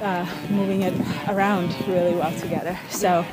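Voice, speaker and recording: A woman in her thirties from Ethiopia, telling stories in a casual interview with thoughtful pauses and soft laughs. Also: fairly low pitch, flat delivery, over loud music, rough recording. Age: 30s. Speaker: interviewee, pickup soccer player.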